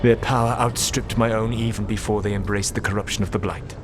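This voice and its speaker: An actor playing an ancient male elven rebel god, measured and precise, with dry sarcasm. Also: on the low side, natural voice. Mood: dry, mocking. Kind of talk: video game dialogue. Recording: game audio with steady background noise.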